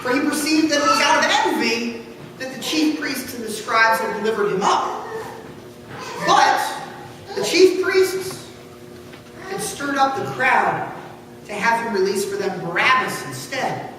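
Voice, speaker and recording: Distant and ringing in a big, noisy church, a man reads scripture aloud as a pastor. He projects in a high voice, theatrical and deliberate. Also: animated. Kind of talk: dramatic scripture reading. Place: large church interior. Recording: echoey church hall from far off, noisy.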